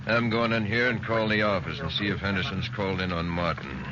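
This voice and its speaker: An actor playing a brisk, authoritative American police captain with clipped delivery in 1940s radio style. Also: male voice, low-pitched, close to an everyday voice. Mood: grim. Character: brisk, commanding male police captain briefing his officers. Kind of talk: 1940s radio acting, crime drama. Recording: muffled, noisy old radio recording.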